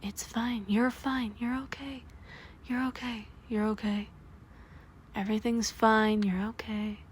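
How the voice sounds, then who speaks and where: A woman voicing a gentle young man who softly soothes his frightened partner in a hushed, whispery voice, as in a comfort audio roleplay. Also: on the high side, little pitch movement, unhurried, a little hiss or hum, pitched above the performer's own voice, close to an everyday voice. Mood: soothing.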